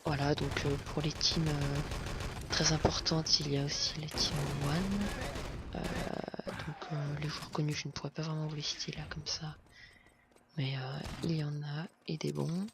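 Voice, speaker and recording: A man's voice: a little high, fairly even intonation, measured pace, gravelly. A man giving a casual, hesitant voice-over commentary on a game video, with many fillers and restarts. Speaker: gameplay commentator. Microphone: home voice recording, self-described as not the best setup. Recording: noisy recording with game gunfire behind. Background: game sounds and gunfire.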